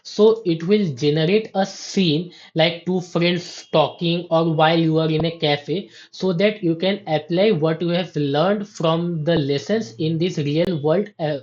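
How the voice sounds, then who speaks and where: A woman demonstrating her software in a slow, explanatory way, often restarting her sentences. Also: on the low side, dull audio, slight background noise. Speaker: app developer giving a demo. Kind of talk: screen-recorded software walkthrough.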